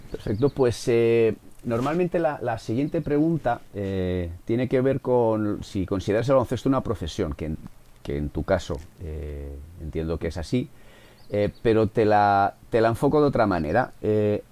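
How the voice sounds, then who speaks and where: A man with a low, mellow voice hosting a podcast interview. He poses his questions hesitantly and often rephrases them as he goes. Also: lively intonation, moderate pace.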